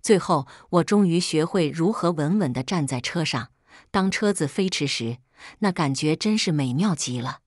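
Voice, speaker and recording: A woman reads a first-person audiobook story in a very low register. Her voice has a slightly husky edge, and the recording is dry, without room sound. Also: animated, normal speed, no echo. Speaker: audiobook narrator.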